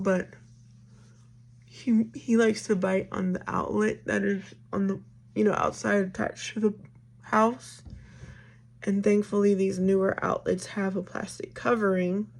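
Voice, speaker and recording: Raspy, chatty woman in her thirties. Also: medium pitch, fairly even intonation, unhurried. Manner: chatty, rambling, addresses viewers as y'all. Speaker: vlogger. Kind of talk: casual get-ready-with-me chat.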